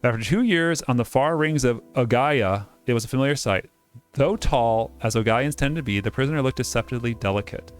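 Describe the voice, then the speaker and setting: A man's voice: mid-range voice, a little fast, clear, resonant tone, soft background music. A man giving casual, digressive gaming commentary, joking around and reading on-screen text aloud. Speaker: game playthrough host.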